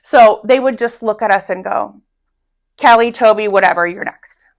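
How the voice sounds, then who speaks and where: Loud, lively woman teacher chatting. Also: mid-range voice, moderate pace. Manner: loud, energetic storyteller. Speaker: Spanish teacher, interview guest. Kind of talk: casual interview chat. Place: high school classroom.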